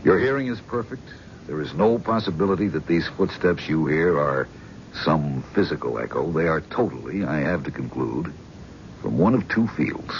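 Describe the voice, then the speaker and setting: An actor playing a calm, probing male psychiatrist who speaks in a measured, frank way. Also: extremely low pitch, slight background noise. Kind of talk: 1970s radio drama acting. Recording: dull vintage radio broadcast.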